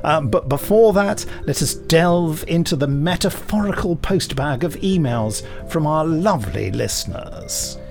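A man's voice: a little high, expressive, measured pace, gravelly, hardly any pauses, a little mellow. A man co-hosting a podcast, moving between announcements and casual chat. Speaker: podcast co-host. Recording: faint music behind. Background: faint music.

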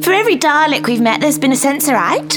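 A woman's voice: high-pitched, big pitch swings, quick pace, music in the background. An actress playing an earnest, idealistic teenage girl in a 1960s-style science fiction audio drama, protesting with conviction.

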